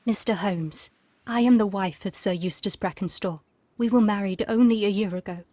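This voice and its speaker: A woman's voice: medium pitch, moderate pace, dark tone, no room sound, lightly acted. A woman voicing an unhappy aristocratic Victorian widow with a formal, refined, plaintive manner in a video game. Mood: formal, sorrowful. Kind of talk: video game cutscene acting.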